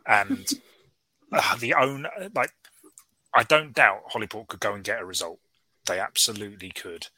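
A man chatting as a guest on a football podcast, jokey and animated, with playful outbursts. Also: on the low side, normal speed, dry recording. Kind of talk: casual football podcast chat.

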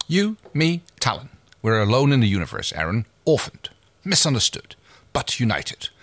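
A man reading aloud and voicing a scheming male ex-commander with earnest, theatrical persuasion, his voice pushed higher than his own. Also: sing-song, moderate pace, a bit bright, dry recording. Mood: persuasive.

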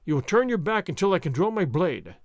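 Guy doing a cool masked swordsman, calm, bossy, kinda mocking. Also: high-pitched, brisk, no room sound, clean studio sound. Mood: commanding. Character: cool, confident masked swordsman hero, young man. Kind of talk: audiobook character reading.

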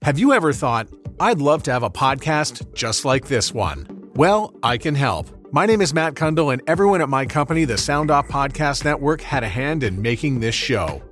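A man's voice: medium pitch, lively intonation, moderate pace, fairly bright tone, faint music behind. A man who produces podcasts, reading a promotional spot in the first person. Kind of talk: promotional ad read.

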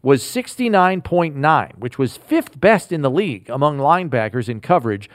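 A man hosting a sports podcast, delivering scripted lines in a measured, announcer-like way. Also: fairly high pitch, sing-song, no echo, studio quality. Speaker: podcast host.

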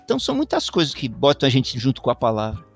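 Reflective Paulistano man, unhurried musing. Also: medium pitch, huge pitch swings, soft background music. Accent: Paulistano Brazilian Portuguese. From São Paulo, Brazil. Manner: thoughtful, meandering, many restarts and tag questions. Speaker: guest musician. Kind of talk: reflective interview talk.

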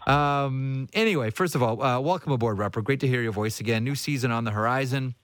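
A man speaks as a sports talk host in a casual, conversational style. His pitch sits in the middle range, and he shares opinions freely. The sound is dry and close, with little room on it. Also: fairly quick, a bit bright, no echo. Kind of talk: casual hockey talk and interviewing.

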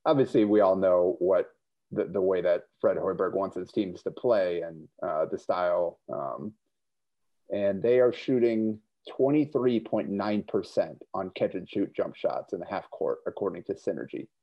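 A man's voice: deep voice, moderate pace, muffled recording. A man who writes about basketball, guesting on a podcast and explaining stats analytically, often stammering at the start of sentences. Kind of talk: casual sports podcast chat.

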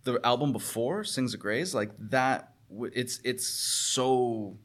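A man's voice: medium pitch, takes it slowly, a bit bright. A man in a casual conversation about music, talking as a guest musician, earnest and enthusiastic, often rephrasing as he goes.

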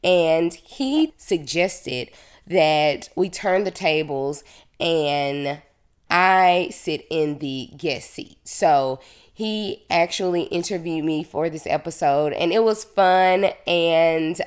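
A woman's voice: sits low, takes it slowly, echoey room. A woman in her thirties hosting a podcast, upbeat and chatty, sounding a little nasal from congestion. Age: 30s. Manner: upbeat, energetic, laughs often. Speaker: podcast host. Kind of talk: casual conversational interview.

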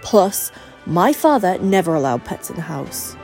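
A woman's voice: lowish voice, very animated, a little slow, gravelly, a little mellow, soft background music, heavily acted, poor audio. A woman voicing an anxious, defensive, self-pitying young man in an audiobook story, with emphatic delivery. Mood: defensive, upset.

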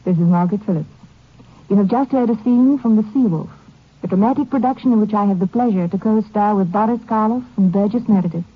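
Brief: woman promoting her show, breathy, tinny old radio. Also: medium pitch, quick pace. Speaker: guest actress promoting a show.

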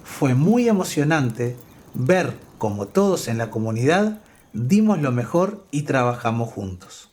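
A man's voice: mid-range voice, big pitch swings, normal speed, a little mellow, slightly rough. A man, talking earnestly and with feeling about his own life. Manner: earnest and heartfelt. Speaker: interviewee. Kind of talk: personal testimony.

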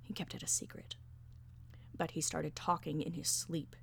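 Vengeful woman in a storybook tale, acted by a female narrator: low, hushed, breathy, grimly insistent. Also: level tone, moderate pace, whispered. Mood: grim insistence. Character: exasperated, vengeful woman, grimly set on killing.

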